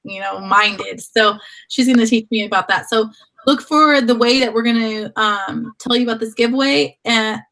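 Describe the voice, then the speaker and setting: A woman's voice: a little high, normal speed, a bit bright, rough recording. A woman hosting a live video chat, with a sore throat from a cold, who rambles and often restarts her sentences. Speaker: host.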